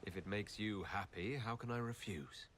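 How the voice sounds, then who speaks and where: An actor playing a composed, gallant male leader in a video game, measured and courteous. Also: on the low side, fairly bright tone, a little hiss or hum, natural voice. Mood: warm, wry. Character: composed, gallant heroic leader, adult man. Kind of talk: video game cutscene dialogue.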